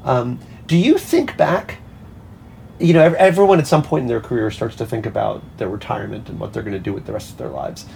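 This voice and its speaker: A man's voice: mid-range voice, fairly quick, creaky, a little mellow, slight background noise. A man hosting an interview, asking questions conversationally in hesitant, circling phrases. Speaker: interviewer, host.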